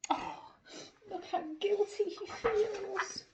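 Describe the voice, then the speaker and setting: A woman reading a picture book expressively to young children, theatrical, asking the listener questions with hushed asides. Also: extremely high pitch, fairly even intonation, drawn-out words, vocal fry. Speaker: primary school storyteller.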